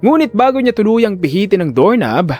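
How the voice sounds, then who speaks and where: A man's voice: high-pitched, very animated, normal speed, a little mellow. Male audio drama narrator over music. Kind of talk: scripted dramatic story narration.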